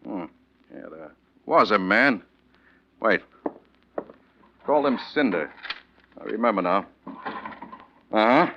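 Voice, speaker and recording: Cranky kennel owner in old radio play, exasperated, pitch all over, muffled sound. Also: male voice, lively intonation, slow, pauses often, slight background noise, heavily acted. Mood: relenting. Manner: gruffly indignant, emphatic. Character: irritable, exasperated male kennel owner roused from sleep. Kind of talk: 1940s radio drama acting.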